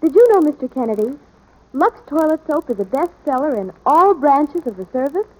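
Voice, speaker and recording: High-voiced woman in vintage radio commercial. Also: sing-song, moderate pace, low-quality recording. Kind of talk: scripted 1940s radio ad dialogue. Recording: old 1940s radio broadcast.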